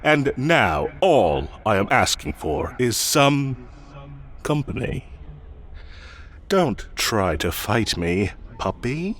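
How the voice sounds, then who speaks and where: A man's voice: medium pitch, big pitch swings, fairly bright tone. A man playing a smug, possessive and menacing fae king in roleplay audio, theatrical and condescending as he speaks directly to the listener. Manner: theatrical, condescending, slow deliberate coaxing.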